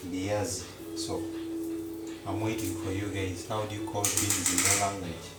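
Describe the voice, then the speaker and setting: Guy vlogging from his kitchen, low voice, roomy sound a bit far from the mic, music behind. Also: level tone. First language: Luganda. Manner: slow, repetitive, chatty, addresses viewers directly. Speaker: cooking vlogger. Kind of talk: casual live-stream chat. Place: home kitchen in Canada.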